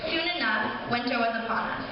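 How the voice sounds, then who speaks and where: Young woman, class officer, scripted ceremony speech, muffled sound, clear background noise. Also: on the high side, expressive, a little fast. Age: young adult. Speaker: high school class officer. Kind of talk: scripted speech read aloud at a school ceremony. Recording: distant echoey hall, muffled, noisy.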